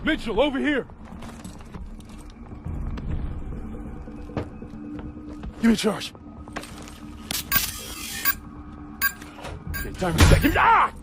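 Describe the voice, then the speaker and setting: A man's voice: very high voice, very animated, fast, exaggerated voice. An actor playing a brave, selfless young male Marine private with an intense, strained delivery in a military action game. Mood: frantic, urgent. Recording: loud dramatic music and battle sounds behind.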